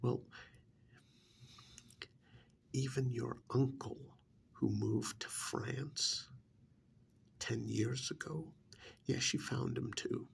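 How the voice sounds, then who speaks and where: A man playing a calm, menacing vampire emissary in a soft-spoken ASMR role-play, half-whispering in a slow, condescending way. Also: medium pitch, a little hiss or hum. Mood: condescending, disappointed. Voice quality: breathy, half-whispered, creaky. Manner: slow, deliberate, condescending, hesitant pauses.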